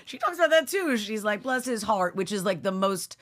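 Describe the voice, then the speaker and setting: A woman co-hosting a podcast in casual banter, sardonic and animated, swearing casually. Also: medium pitch, a little fast. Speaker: podcast co-host.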